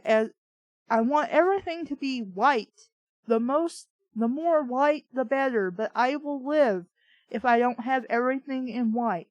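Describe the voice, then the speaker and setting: High-pitched, blunt woman reading and ranting. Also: no echo. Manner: blunt, sweary, exasperated. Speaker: host of a bad fiction reading show. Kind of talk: reading a story aloud with ranting commentary.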